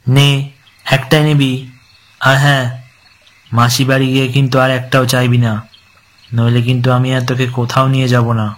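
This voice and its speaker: A man's voice: mid-range voice, little pitch movement, moderate pace, pauses often, natural voice. A man voicing a protective young older brother in a horror cartoon. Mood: obliging. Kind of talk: Bengali horror cartoon dub.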